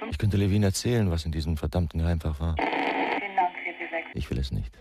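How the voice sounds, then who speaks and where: A sullen ex-cop in a radio crime play, really low voice, grumbly and terse. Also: male voice, expressive, fairly quick, heavy background noise. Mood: brooding, irritated. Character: gruff, brooding male ex-policeman, sullen and guarded. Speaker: actor playing the detective. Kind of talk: 1990s German radio crime drama acting.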